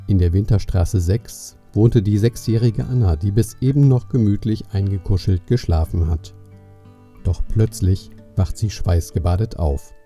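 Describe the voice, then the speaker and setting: A man narrating a scripted children's story in a measured way, speaking directly to the young listener. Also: fairly low pitch. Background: soft plucked guitar music.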